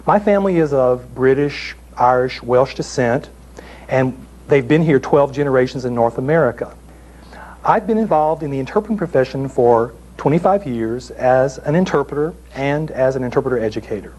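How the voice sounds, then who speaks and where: A man's voice: mid-range voice, lively intonation, normal speed, darkish tone, rough recording. A man from the southern United States with a mid-range voice introduces himself in a plain, matter-of-fact way. He sounds like an educator addressing a panel audience. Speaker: interpreter educator. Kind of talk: recorded panel presentation.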